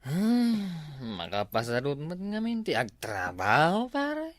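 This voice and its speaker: A man's voice: a little high, wildly swooping pitch, takes it slowly, dry recording, exaggerated voice. A man with an Ilocano accent playing a work-shy, drinking village husband in a comedy drama, with a hesitant drawl full of hums. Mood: lazy, evasive.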